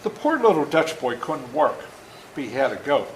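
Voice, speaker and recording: An older man reads his own story aloud to children in an animated, folksy way, stopping for asides to explain things. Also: mid-range voice, moderate pace. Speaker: author reading his own book to schoolchildren. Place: indoor room at a school. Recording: echoey room with faint background noise.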